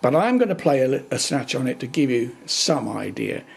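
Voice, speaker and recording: A man's voice: medium pitch, sing-song, moderate pace, vocal fry, slightly rough. Older man explaining speakers with dry wit. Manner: dry wry remarks, plain-spoken. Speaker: hobbyist reviewer. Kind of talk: casual technical explainer. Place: living room at home. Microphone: built-in camera microphone.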